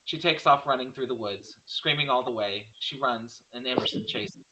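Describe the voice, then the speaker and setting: A man's voice: mid-range voice, normal speed, pushed and bright, dull audio. A man narrating stage directions from a script at a virtual table read.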